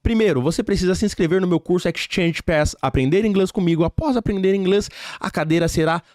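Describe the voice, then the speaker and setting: A man's voice: high-pitched, fast, no room sound, clean studio sound. A Brazilian man with a Brazilian accent, hosting a podcast interview and talking emphatically to the audience. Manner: animated, emphatic, addresses the audience directly. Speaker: podcast host and English teacher. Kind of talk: casual interview chat. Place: podcast studio.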